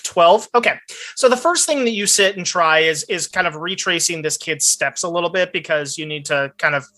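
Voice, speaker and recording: Bright-voiced man running a tabletop game. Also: fairly high pitch, normal speed. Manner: improvised, descriptive narration. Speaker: game master. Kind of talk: narrating a tabletop roleplaying game.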